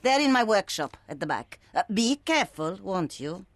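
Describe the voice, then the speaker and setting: An actress playing a fussy, excitable shopkeeper woman in a video game. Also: medium pitch, moderate pace.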